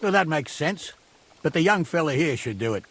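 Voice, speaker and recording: A man's voice: on the high side, moderate pace. An actor playing a bossy, hot-tempered medieval man in command, in a video game. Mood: brisk, wry. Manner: imperious, animated, commanding.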